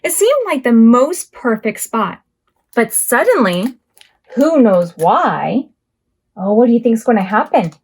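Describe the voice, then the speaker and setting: Woman, high-pitched, slow pace, preschool storytime host, expressive read-aloud, drawn-out words. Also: slightly rough. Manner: expressive, drawn-out words and acted sound effects. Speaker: children's librarian and storytime host.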